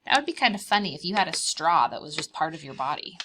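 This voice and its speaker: A woman's voice: low voice, sing-song, fast talker, noisy background. A woman preschool teacher reading aloud to young children, explaining things simply, asking questions and drawing out key words.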